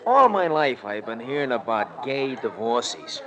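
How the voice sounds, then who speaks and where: An actor playing a wisecracking, slangy New sidekick in a 1940s radio detective drama. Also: male voice, medium pitch, sing-song, moderate pace, lo-fi sound, a crowd in the background, lightly acted. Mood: grumbling, wisecracking. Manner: slangy, mock-formal wisecracks. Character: wisecracking, streetwise American sidekick in a detective serial. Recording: old radio recording.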